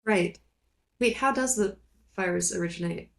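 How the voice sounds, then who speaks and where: A woman's voice: medium pitch, moderate pace, creaky. A woman co-hosting a casual chat about films, thinking aloud in an informal way. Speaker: podcast co-host.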